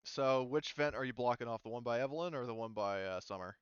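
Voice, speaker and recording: A man's voice: mid-range voice, fast, clear, resonant tone, dry recording, highs cut off. A man acting as game master, casually thinking aloud as he talks players through rules and turns. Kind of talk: casual tabletop game narration and rules talk.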